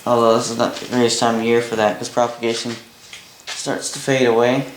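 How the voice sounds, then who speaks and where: A Canadian man gives a casual, unscripted demonstration. He speaks offhandedly and haltingly, as if working it out while he talks. The room is noticeably echoey, with faint noise and clicks behind him. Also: medium pitch, flat delivery. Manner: halting, offhand, thinking aloud. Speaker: hobbyist presenter demonstrating a vintage boombox. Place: indoor room at a workbench by a window. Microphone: camera mic a short distance away.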